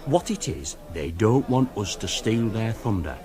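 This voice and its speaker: A grumbling older working-class man with a Northern English accent. He tells his tales with indignant gusto, his pitch swinging lively up and down. It sounds like a radio drama. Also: a little slow.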